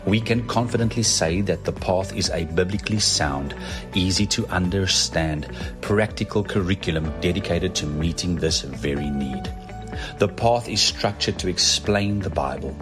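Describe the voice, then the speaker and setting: Deep male voice, formal scripted narration, measured delivery, music bed. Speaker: video narrator. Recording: voice-over with background music.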